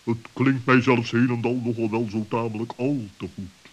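Guy doing a wise owl, mid pitched, slow careful pompous advice, kids radio play. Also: little pitch movement, dull audio, close to an everyday voice. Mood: doubtful, pondering. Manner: deliberate, ponderous, pompous. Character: cautious, solemn, wise male owl. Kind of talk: children's radio play acting.

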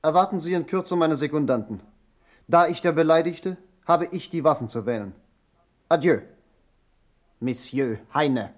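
An actor playing an indignant, haughty German gentleman in a radio play, stiffly formal and affronted. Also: on the high side, moderate pace, long pauses, natural voice. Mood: affronted, curt.

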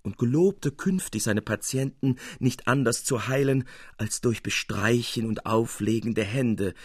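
Male narrator, standard German, lively storytelling of classic fiction, close and dry recording. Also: medium pitch, slightly rough, no room sound. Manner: expressive, acting out dialogue. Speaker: audiobook narrator. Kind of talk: dramatic literary narration. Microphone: close studio microphone.

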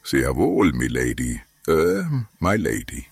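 A man's voice: extremely low pitch, big pitch swings, takes it slowly, a little hiss or hum, heavily acted. A man playing a stiff, servile butler in a comedy radio play, formal, deferential and clipped. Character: stiff, servile male butler in a comic castle household.

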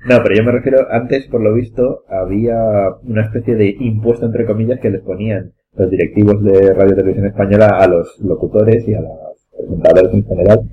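A man's voice: lowish voice, steady tone, fairly quick. A man with a Spanish accent from Spain, chatting casually on a podcast and talking in hesitant, trailing phrases with many restarts. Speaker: podcast host. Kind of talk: casual chat. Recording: dull lo-fi sound with faint hum.

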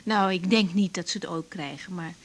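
An actress playing a patient, practical young doctor in a radio drama, asking questions gently and hesitantly in Netherlands Dutch. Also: female voice, on the low side, normal speed, close to an everyday voice. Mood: caring. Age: young adult. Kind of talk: naturalistic 1980s radio drama acting.

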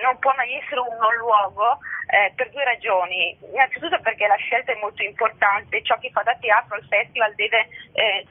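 A woman's voice: medium pitch, breathy edge. A woman interviewed as a festival director, speaking earnestly in lofty, learned phrases, searching for her words as she goes. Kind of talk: radio phone interview, reflective explanation. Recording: phone-line radio interview sound.

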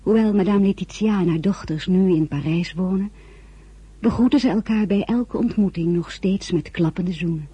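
An actor reading out a diary as narrator in a scripted radio drama, with a husky voice. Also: male voice, high voice, normal speed, warm tone, slight background noise, lightly acted. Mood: reflective.

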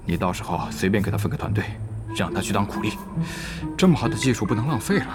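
A man in an audio drama playing a calculating, distrustful guild leader who gives orders in a measured way. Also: lowish voice, sing-song. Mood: cool, scheming. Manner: measured, scheming. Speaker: voice actor in a radio drama. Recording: music behind the voice.

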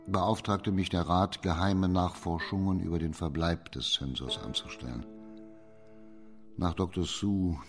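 A man playing an authoritative, shrewd judge in a radio crime drama, speaking formal in a measured, commanding way. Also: deep voice. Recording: reverberant hall.

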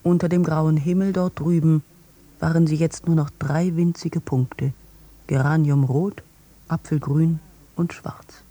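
A man's voice: on the high side, fairly even intonation, measured pace, warm tone. A man reciting short passages of literary prose for a radio production.

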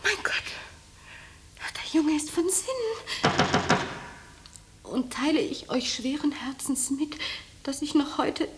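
A woman's voice: high voice, sing-song, takes it slowly, pauses often, over loud music, exaggerated voice. An actress playing an anguished, theatrical mother of a runaway boy in a German radio drama. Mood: distraught. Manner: theatrical, wailing and exclaiming.

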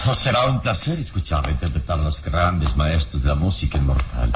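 A man playing a calm, courteous heroic adventurer with formal, measured, gallant delivery in an old radio serial. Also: a bass voice, noisy background. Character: heroic turbaned male adventurer, calm, courteous, self-assured.

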